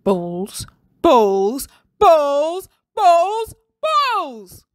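A woman voicing a strict, furious male teacher who shouts and growls in a storybook reading. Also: sits high, wildly swooping pitch, drawn-out words, voice pushed up, heavily acted. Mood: raging. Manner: growling, building to bellowed shouts.

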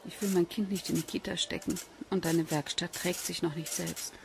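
Radio play: playful young-family mother-to-be, creaky voice, clearly noisy clicking background. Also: fairly low pitch, steady tone, moderate pace. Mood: happy, hopeful. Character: eager, good-humoured pregnant wife. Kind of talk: German radio drama acting.

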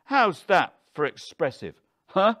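Tense older husband for an audiobook, acted by a male narrator: bitter, combative, quick-tempered, voice pushed up. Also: sits high, very animated, pushed and bright, voice pushed up, full character voice. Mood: frenzied. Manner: defensive, confrontational.

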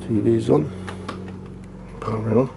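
A man's voice: lowish voice, steady tone, measured pace, creaky, background noise. A man casually demonstrating old computer gear, thinking aloud in a rambling, self-deprecating way. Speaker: retro computer hobbyist. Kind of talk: casual hands-on demo.

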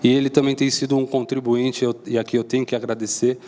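A man's voice: medium pitch, little pitch movement, quick pace, warm tone. Young man hosting from a lectern. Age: 20s. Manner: polite, thanks and introduces at length. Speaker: event host. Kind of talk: formal welcome speech. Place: auditorium stage at a lectern. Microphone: stand microphone.

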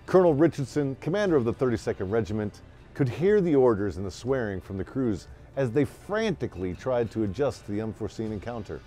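An American man tells a story with theatrical flair, his pitch moving in lively swings. The voice is mellow, brash and tongue-in-cheek, and faint music plays underneath. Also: a little fast. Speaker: host and storyteller. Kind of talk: dramatic scripted storytelling with crude comic asides. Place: small wood-panelled room, indoors.

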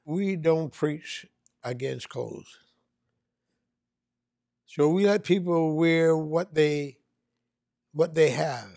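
An older man speaking slowly in an interview, easygoing and chuckling about his church's dress habits. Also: on the high side, creaky, a little mellow, no room sound, studio quality. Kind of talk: interview reminiscence.

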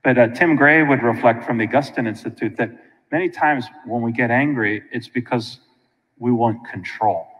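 American priest preaching in a reverberant church. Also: male voice, medium pitch, moderate pace. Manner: colloquial and animated, with frequent asides to listeners. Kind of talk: informal conversational sermon. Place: large church sanctuary, indoors.

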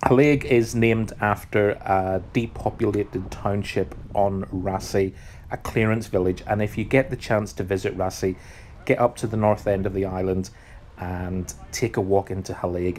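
A man's voice: lowish voice, fairly even intonation, normal speed, darkish tone, slight background noise. A man in his forties, a travel vlogger, delivering scripted travel narration as host.

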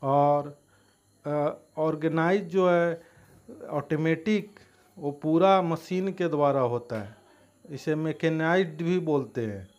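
A man narrates a farming how-to at a slow pace. His voice sits a little high, and he speaks haltingly in short, separated phrases. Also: darkish tone. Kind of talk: instructional voice-over narration.

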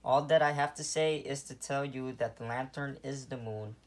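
A man's voice: mid-range voice, steady tone, moderate pace, natural voice. A man playing an exasperated amateur actor as the moon in a puppet show, speaking in a plodding, literal way.

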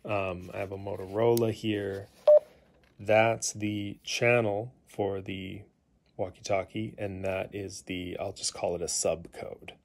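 A man with a fairly deep voice and plenty of vocal fry talks through a hands-on demonstration. He is informal and unscripted, pausing and rambling a little as he explains. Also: level tone. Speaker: hobbyist demonstrating a gadget. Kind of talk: casual unscripted tutorial. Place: indoors in a living room.

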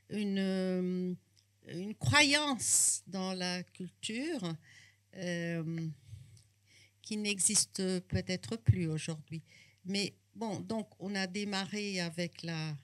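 A woman's voice: mid-range voice, a bit bright. An older woman, speaking French at a bookshop talk on a handheld mic, reflective and full of restarts. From Brazil. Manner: unhurried, reflective, hesitant restarts. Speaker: author and psychoanalyst. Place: bookshop, indoors.

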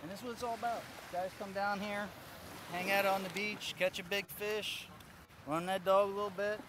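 High-voiced American man on a noisy beach. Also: steady tone, moderate pace. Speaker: fishing vlog host. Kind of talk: casual excited fishing chat. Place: outdoors on an open ocean beach. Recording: noisy surf and wind outdoors.

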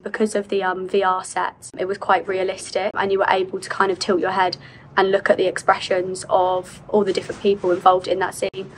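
Young woman, very even delivery, informal testimonial with faint background noise. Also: mid-range voice, fairly quick, poor audio.

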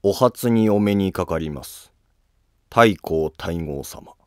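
A dignified, attentive prince , acted by a man in an animated period drama. He speaks formally in a low voice at a slow, measured pace. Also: clean tone, dry recording, studio quality. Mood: calm. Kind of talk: Japanese historical animated drama acting.

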